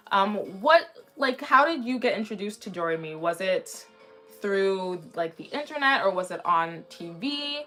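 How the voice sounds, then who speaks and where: Woman, twenties, casual livestream host, chatty and rambling, slightly raspy sore throat, faint background music. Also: medium pitch, fairly bright tone. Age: 20s. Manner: chatty, rambling, frequently restarting sentences. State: mildly sick with a sore throat.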